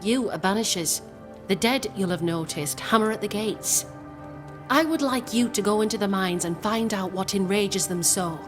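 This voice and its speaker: An actress playing a composed, resolute plotter in a video game, speaking in formal, measured phrases. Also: female voice, medium pitch, lightly acted. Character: composed, resolute woman plotting against a captain. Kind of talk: video game cutscene acting. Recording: prominent music underneath.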